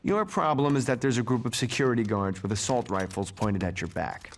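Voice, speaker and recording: An actor as a smug, slick corporate executive. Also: male voice, mid-range voice, brisk, slight background noise, close to an everyday voice. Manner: wry, condescending, articulate. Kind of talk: video game cutscene acting.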